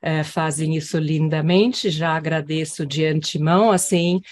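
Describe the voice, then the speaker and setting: A woman interpreting a guest's talk live, in measured phrases with "eh" pauses, over a video call. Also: sits low, steady tone, a little mellow. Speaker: simultaneous interpreter.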